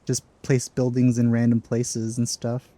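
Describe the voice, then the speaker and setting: A man's voice: medium pitch, monotone, measured pace, dark tone. A man giving casual commentary as he plays through a video game, with game music behind him. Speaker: gaming commentator. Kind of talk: casual let's play commentary.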